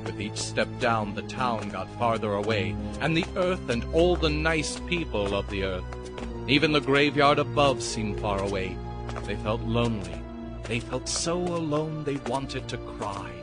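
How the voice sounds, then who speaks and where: A man's voice: medium pitch, animated, a little slow. A man narrating a dramatized audiobook as a scripted, theatrical story. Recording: music behind the narration.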